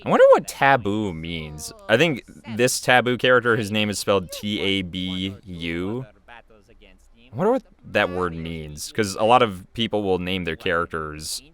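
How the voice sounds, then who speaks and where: Low-pitched man with a bright, forceful voice. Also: lively intonation, measured pace. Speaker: video creator and voice actor giving commentary. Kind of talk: casual unscripted commentary.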